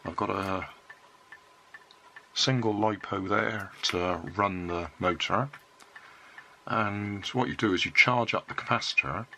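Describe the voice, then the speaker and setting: Older guy with a cold for a bench tutorial, low-ish bright voice, patient explainer. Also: takes it slowly, pauses often, a little hiss or hum. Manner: calm step-by-step explaining. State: has a cold. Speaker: electronics hobbyist. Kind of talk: casual workbench demonstration. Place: indoor hobby workbench.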